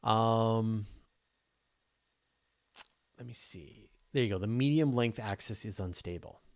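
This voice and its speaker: A man's voice: fairly low pitch, slow. Enthusiastic man hosting a live Q&A. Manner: informal and enthusiastic, talking off the cuff. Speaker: host of a live astronomy question show. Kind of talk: casual live Q&A chat.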